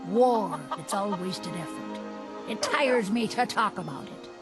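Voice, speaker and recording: A woman's voice: mid-range voice, lively intonation, a little slow. An actress playing a fretful, grumbling older village woman in a fantasy video game. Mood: weary, disgusted. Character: fretful old Nord village mother, grumbling and excitable. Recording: loud music over the voice.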